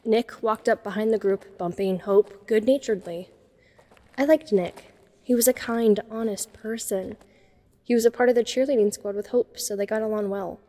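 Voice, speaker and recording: A woman's voice: mid-range voice, normal speed, mellow, long reverb tail, lightly acted. A young woman playing an introspective, self-conscious teenage girl who narrates her own story in an audio drama. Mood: sympathetic. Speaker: narrator and lead character. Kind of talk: first-person dramatic narration.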